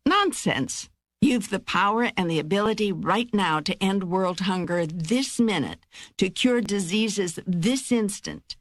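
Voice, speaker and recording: A woman playing God as a wry, wise, reassuring spirit in an audiobook dialogue, with a measured, assured, instructive delivery. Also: mid-range voice, expressive, no room sound. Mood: firm, insistent.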